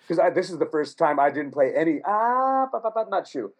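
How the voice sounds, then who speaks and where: Casual male musician guest, husky, loose and rambling, swears freely. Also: on the high side, big pitch swings, brisk. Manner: rambling, profane, laughs often. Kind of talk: casual podcast chat.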